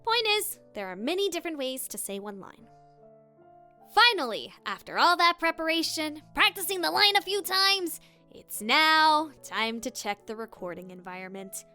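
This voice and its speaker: A woman's voice: sits high, expressive, moderate pace, sharp tone, soft background music. A woman hosting a scripted, playful tutorial as a voice actor, with theatrical emphasis. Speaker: voice actor and video host.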